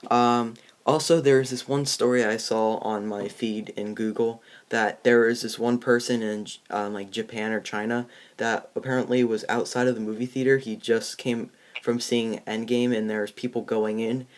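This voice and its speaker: A teenage boy in a casual movie discussion, talking in a halting, rambling way. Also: mid-range voice, flat delivery. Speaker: movie review host. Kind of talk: casual chat.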